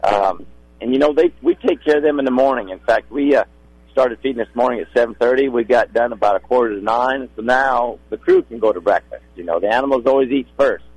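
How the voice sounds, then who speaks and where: Middle-aged male rodeo man for radio interview. Gravelly, husky, courteous and folksy. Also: mid-range voice, brisk, a little mellow, poor audio. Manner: polite, folksy. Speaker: rodeo contractor guest.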